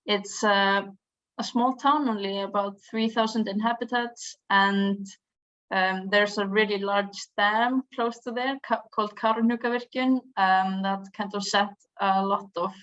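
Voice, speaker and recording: Slow, hesitant young Icelandic woman. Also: medium pitch, fairly even intonation, fairly bright tone. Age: 20s. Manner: hesitant, many ums and restarts. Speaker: youth environmental association chair. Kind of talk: online conference presentation. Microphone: video call. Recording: dull lo-fi video call audio.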